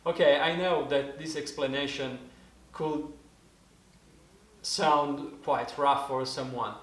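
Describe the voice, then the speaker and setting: Slow, halting Italian man in his forties. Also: a little high, steady tone, a bit bright, echoey room. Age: 40s. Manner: halting, searching for words, many restarts. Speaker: didgeridoo teacher. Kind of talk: casual spoken lesson.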